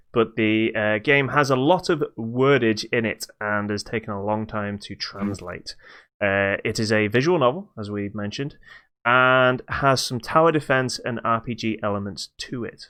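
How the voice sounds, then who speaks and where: Smooth, clear-voiced man chatting casually. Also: on the low side, fairly even intonation, normal speed, a bit bright. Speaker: podcast co-host. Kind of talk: casual chat about games.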